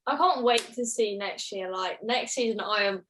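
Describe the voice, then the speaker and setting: A woman's voice: mid-range voice, normal speed, a bit bright, rough recording. A woman talking casually as one of the panel on a motorsport show. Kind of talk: casual chat.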